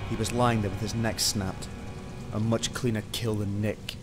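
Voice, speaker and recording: A man's voice: on the low side, level tone, moderate pace, over music, natural voice. A man acting a hot-tempered, obsessive, weary police detective in an amateur radio drama, muttering and swearing. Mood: troubled. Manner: brooding, muttering to himself, swearing. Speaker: voice actor in amateur radio play.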